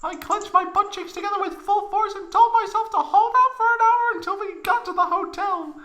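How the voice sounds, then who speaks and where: A woman's voice: extremely high pitch, normal speed, pushed and bright. A woman playing a proud, melodramatic young woman recounting an embarrassing tale in a comedic fan fiction reading, overwrought and close to giggling. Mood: anguished, overwrought.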